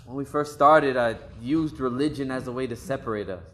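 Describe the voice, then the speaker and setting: A young actor playing a troubled, guarded man who answers quietly and hesitantly in a stage play. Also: medium pitch, fairly quick, lightly acted. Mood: sheepish. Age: young adult.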